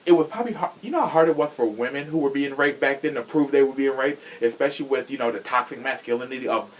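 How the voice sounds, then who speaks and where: A man's voice: mid-range voice, quick pace, rough recording. A young man hosting a podcast in a casual, opinionated chat, talking in a sassy, slangy and emphatic way. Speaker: podcast host.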